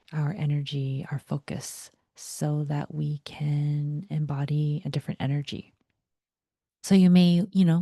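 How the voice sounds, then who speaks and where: A young woman whose first language is Vietnamese, giving a reflective guided talk in a soft voice that often slips into a breathy whisper. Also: sits low, no echo, clean studio sound. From United States. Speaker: vlogger.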